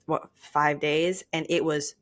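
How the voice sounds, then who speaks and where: A woman's voice: sits low, level tone, takes it slowly. A young adult woman talking casually and conversationally as a podcast co-host.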